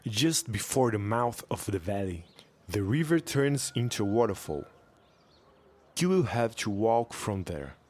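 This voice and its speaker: An actor playing a gruff, skeptical local villager in a jungle adventure audio drama, deliberate and ominous. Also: male voice, lowish voice, very animated, a little mellow. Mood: grave warning.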